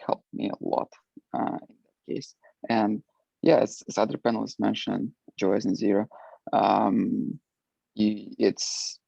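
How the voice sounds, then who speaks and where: Guy on a panel, low voice, lots of ums, dry muffled call audio. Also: gravelly, darkish tone, husky, no echo. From Ukraine. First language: Russian. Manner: hesitant, many filler words. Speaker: alumni panelist. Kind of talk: panel discussion answer.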